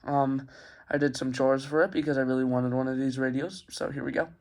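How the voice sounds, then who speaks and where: A young man with a medium-pitched voice talks through a hobby showcase at home. He is informal and a bit halting, speaking casually into a handheld phone as a reviewer would. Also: fairly even intonation, a little mellow, slight background noise. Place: carpeted room at home.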